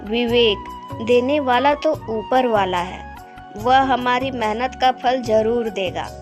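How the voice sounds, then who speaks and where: Encouraging village wife, slow-spoken. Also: female voice, on the high side. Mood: hopeful, encouraging. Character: hopeful, encouraging poor farmer's wife, adult village woman. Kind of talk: moral-story cartoon dubbing. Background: background music.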